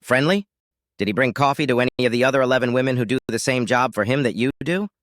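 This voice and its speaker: A man's voice: medium pitch, fast, a bit bright, dry recording, clean studio sound, natural voice. A man playing an angry, betrayed husband, confronting and accusing with sarcastic force.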